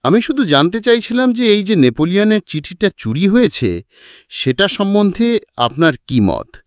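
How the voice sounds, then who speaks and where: A man's voice: sits high, big pitch swings, normal speed, no echo, voice pushed up. A man voicing a polite, well-read detective in a story, asking courteous, probing questions.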